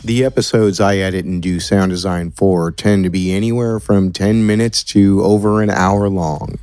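A man's voice: low-pitched, darkish tone, no room sound. An American man who works as an audio producer, giving explanatory answers as an interview guest. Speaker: audio producer and sound designer, podcast guest.

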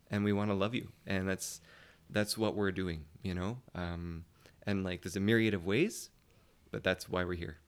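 A man's voice: on the low side, clean tone. A man speaking as a playwright guest in a casual panel discussion, earnest and hesitant as he forms his thoughts.

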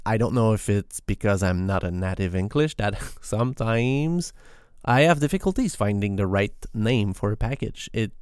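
A man from Quebec with a French Canadian accent, a native French speaker, talking alone about programming in a halting way with lots of restarts. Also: fairly low pitch, a little mellow, smooth, dry recording, studio quality. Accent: Québécois French. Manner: halting, many restarts and fillers. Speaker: podcast host. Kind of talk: solo tech talk.

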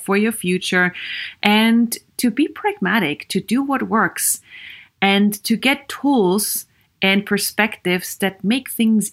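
A woman psychologist from Austria with a Viennese accent hosts her own podcast alone. She talks earnestly and encouragingly, asks her listeners many questions and fills pauses with "you know.". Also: mid-range voice. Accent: Viennese Austrian German. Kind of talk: solo podcast monologue.

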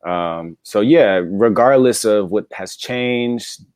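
A man with a deep voice chats about sports as a co-host, recorded close and dry. His delivery is laid-back and conversational, full of casual slang. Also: takes it slowly, darkish tone, no room sound.

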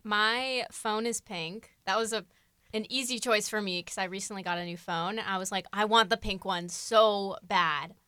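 A teenage girl co-hosting a casual podcast chat, self-mocking and quick to laugh. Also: mid-range voice, pushed and bright, clean tone, dry recording. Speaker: podcast co-host.

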